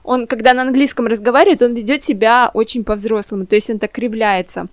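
A mother chatting on a podcast, telling her family's story with lively, filler-filled speech. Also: on the high side, fast, no room sound. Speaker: guest mother in a trilingual family. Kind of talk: casual podcast conversation.

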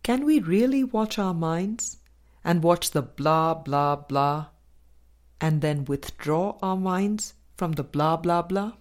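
A woman reading a spiritual book aloud as a scripted narration, with measured, deliberate phrasing in short groups. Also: on the low side, animated. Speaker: audiobook reader.

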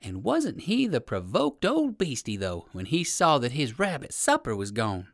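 A woman narrating a children's story in an expressive, emphatic storybook style, speaking to young listeners. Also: extremely low pitch, moderate pace, dry recording, clean studio sound.

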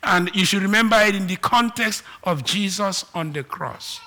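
Nigerian-accented preacher, bright voice. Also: male voice, high voice, moderate pace, slight background noise. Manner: swings between loud emphatic declarations and quiet asides. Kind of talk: sermon.

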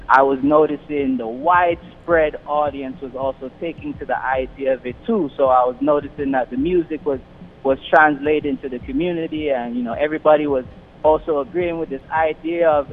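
A young man who grew up in London and the Caribbean, a radio guest talking over the phone with many fillers and restarts. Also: medium pitch. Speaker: radio guest, community organization founder. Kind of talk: casual interview over the phone. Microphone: phone line. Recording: tinny phone line with faint noise.

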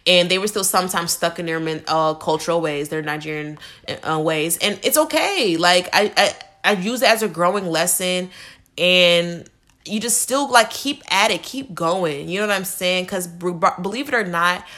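A woman's voice: on the low side, normal speed, sharp tone. A twenty-year-old woman, a college student, talking candidly and earnestly in a casual way, with many "like" fillers. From United States. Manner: earnest, casual, heavy use of "like". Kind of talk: candid personal talk.

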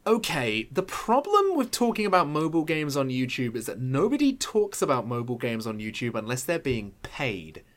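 Man YouTuber, casual chat; mid-pitched, candid, excitable, swears a lot. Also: normal speed, few pauses.

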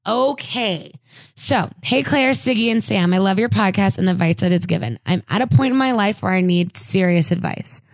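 A woman's voice: medium pitch, lively intonation, normal speed, no room sound. A woman co-hosting a casual podcast, reading listener letters aloud with jokey asides. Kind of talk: casual chat.

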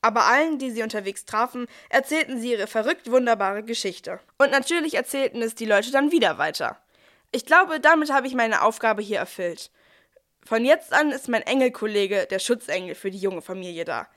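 A woman's voice: a little high, expressive, fairly quick, fairly bright tone. A woman playing a cheerful, capable archangel who narrates a Nativity audio play in plain, youthful storytelling. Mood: storytelling, assured.

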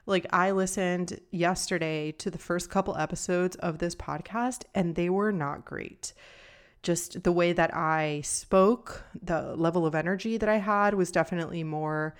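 Calm, low-voiced woman podcaster. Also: unhurried, clean tone. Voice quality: calm, somewhat monotone, deeper voice. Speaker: podcast host and nutrition coach. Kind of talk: casual solo podcast talk.

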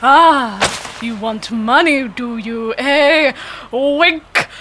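Jolly clueless old british gent, high pitched n blustery, sketch comedy, bit of noise behind. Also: female voice, drawn-out words. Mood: jovial bluster. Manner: booming bluster, old-fashioned posh exclamations. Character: pompous, jolly, oblivious older English gentleman ex-sportsmaster. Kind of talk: theatrical sketch comedy monologue.

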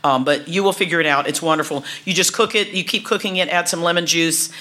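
A middle-aged woman, talking casually to an audience, chatty and warm, with many restarts and laughs. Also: on the low side, fast.

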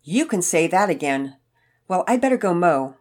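A woman's voice: on the low side, sing-song, normal speed, darkish tone. A woman voicing an eager, kindhearted young boy in a story, polite and earnest. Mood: cheerful, eager. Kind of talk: acted character dialogue in children's story narration.

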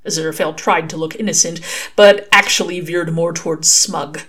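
A woman's voice: low-pitched, steady tone, normal speed, fairly bright tone, slightly rough. A woman reading a scripted story as its author, with a wry manner. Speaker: author reading their own fan fiction.

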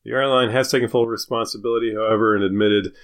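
A man's voice: fairly low pitch, steady tone, quick pace, smooth. A man co-hosting a comedy podcast, bantering casually and reading satirical news jokes in a self-deprecating way, often laughing through his own lines. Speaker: podcast co-host and joke writer.

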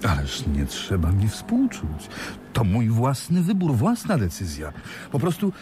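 A man's voice: on the low side, wildly swooping pitch, unhurried, music in the background. A man playing a polite, earnest young heir in a radio play. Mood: wry, resigned. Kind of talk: Polish radio play acting.

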